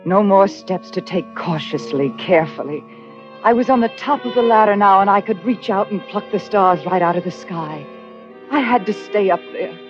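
A woman's voice: medium pitch, expressive, normal speed, close to an everyday voice. An actress playing a haunted, guilt-ridden murderess, narrating her crime in tense, dramatic 1940s radio style. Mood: trembling, obsessive. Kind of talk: 1940s radio suspense acting. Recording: dull old radio recording with music.

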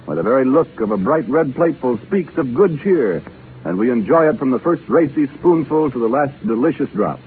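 A man working as a 1930s radio announcer, delivering a polished, enthusiastic sponsor pitch. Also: sing-song, a little fast, faint music behind. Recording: tinny, noisy 1930s radio broadcast.

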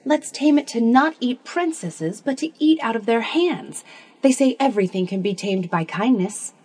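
A woman voicing a brave, eager young princess in a storybook, soft and lively. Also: fairly high pitch, normal speed, husky, pitched above the performer's own voice.

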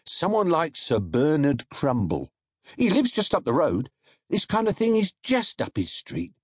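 A self-assured market greengrocer confides his advice with a lively, swooping voice, as a man puts him on. His tone is husky and dry. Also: fairly high pitch, unhurried, no echo, voice pushed up, full character voice. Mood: confiding. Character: know-it-all male fruit and vegetable seller.

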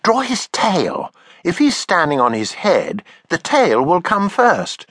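A man reading aloud and voicing an eager, excitable little cave girl in a storybook, chirpy and breathless with excitement. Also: on the high side, takes it slowly, breathy edge, no room sound, studio quality, voice pushed up, full character voice. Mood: excited.

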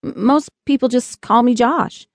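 A woman's voice: fairly high pitch, measured pace, no echo, studio quality, voice pushed up. A woman voicing a shy young boy in an audiobook, hesitant and informal in the way of talking. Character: young boy, awed, shy, casual-talking American kid.

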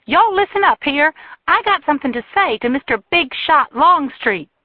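A shrieking, furious young Southern woman, her voice pitched far up and loud as she hurls accusations. The sound is dry and tinny, like a phone line. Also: expressive, moderate pace, no echo. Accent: American Southern. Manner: shrill, screaming accusations.

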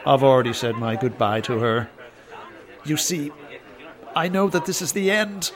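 Gloomy, doomed man of medium pitch, acted. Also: steady tone, normal speed, natural voice. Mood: despairing. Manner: gloomy, urgent pleading. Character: drained, fatalistic brother gripped by a family curse. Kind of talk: radio drama acting.

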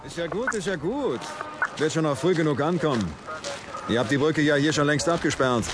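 An actor playing a world-weary, sardonic and brooding homicide detective in a German crime audio drama. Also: male voice, fairly high pitch, expressive, a little fast, natural voice. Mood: sardonic, wry. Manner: sardonic in dialogue, grave and dramatic when narrating. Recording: loud music bed under voice. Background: loud music, outdoor city and vehicle sounds.

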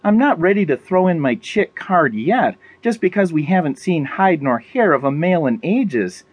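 A man's voice: on the high side, big pitch swings, moderate pace, slightly rough, no room sound, pitched above the performer's own voice. A man voicing a perky, exasperated young woman survivor in an audiobook, with a pleading, colloquial manner.

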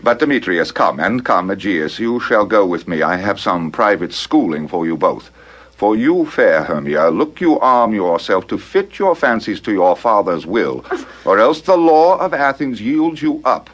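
Low, sweeping, commanding ruler. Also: male voice, big pitch swings, a little slow. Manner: stately, authoritative. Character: commanding, authoritative ruler of Athens. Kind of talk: full-cast Shakespearean audio theater acting.

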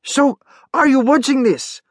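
A man's voice: extremely high pitch, measured pace, no echo, clean studio sound, pitched above the performer's own voice. A man voicing a chatty, inquisitive male caller with a thin, whistly voice. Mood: chirpy, curious.

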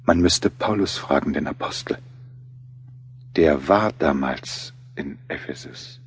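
A serious writer musing aloud in an audio drama. His voice is low and his delivery deliberate and reflective. A faint noise sits behind him. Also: heavily acted. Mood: solemn, reflective. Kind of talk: scripted radio play narration.

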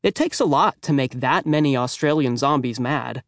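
A man's voice: mid-range voice, moderate pace, no echo, studio quality, natural voice. A man voicing a wry, self-mocking middle school boy who tells his misadventures in a children's audiobook, chatty and dramatic. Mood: cheeky pride. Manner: chatty, dramatic, tongue-in-cheek. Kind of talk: children's audiobook first-person narration.

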